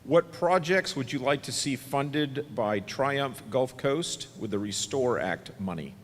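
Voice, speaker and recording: A man from moderating a candidate debate, with a loud, confident, announcer-like delivery. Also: mid-range voice, expressive, slow pace. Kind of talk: moderating a public event. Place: event hall, PA sound system. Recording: long hall reverb.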